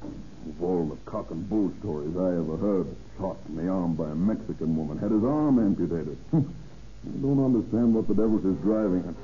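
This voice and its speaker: Shrewd detective chief, tinny old radio. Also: male voice, deep voice, lively intonation, a little hiss or hum. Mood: brisk, puzzled. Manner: deliberate, probing, authoritative questioning. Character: shrewd, cautious chief of detectives, seasoned and unhurried. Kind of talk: 1940s radio crime drama acting.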